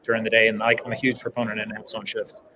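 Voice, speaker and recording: A man's voice: fairly low pitch, completely flat delivery, quick pace. A man co-hosting a casual podcast, enthusiastically explaining sleep habits with many fillers. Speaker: podcast co-host. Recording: noisy live event floor.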